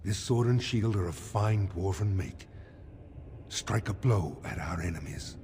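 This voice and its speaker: Low solemn dwarf soldier from a fantasy game, stern n formal, slight noise behind. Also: male voice, measured pace. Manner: grave, formal.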